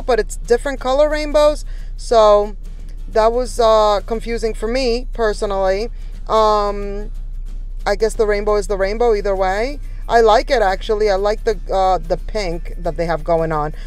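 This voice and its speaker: A woman's voice: mid-range voice, a little mellow, rough recording. A woman narrating a shopping vlog in a casual, enthusiastic, conversational way, talking straight to viewers. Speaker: shopping vlogger. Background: background music.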